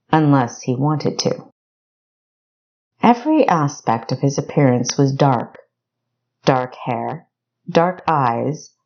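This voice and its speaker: A woman's voice: deep voice, a little mellow, lo-fi sound. A woman reading scripted fiction narration for an audiobook. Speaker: author narrating own audiobook.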